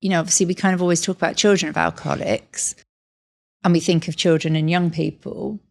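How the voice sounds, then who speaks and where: Low, gravelly-voiced woman podcast host. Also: fairly even intonation, fast. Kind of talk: conversational interview.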